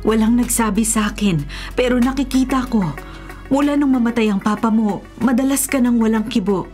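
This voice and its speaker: An actress plays a concerned mother in a Filipino radio drama. Her fairly high voice is earnest and sorrowful, pressing gently but insistently, over soft music. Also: moderate pace, lightly acted.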